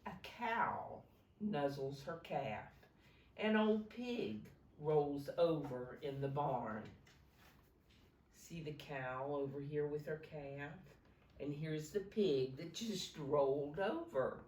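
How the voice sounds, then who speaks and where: A woman reading a picture book aloud to young children as a teacher, pausing to explain simply and ask them questions. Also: sits low, expressive, a little mellow. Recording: roomy echo, distant from microphone.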